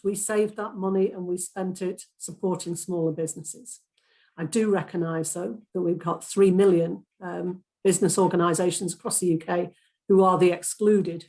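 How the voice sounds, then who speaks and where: A woman with a Lancashire accent giving a brisk, persuasive speech as a candidate at an election hustings. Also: lowish voice, darkish tone, rough recording. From Lancashire, England. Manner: brisk, persuasive campaign speaker.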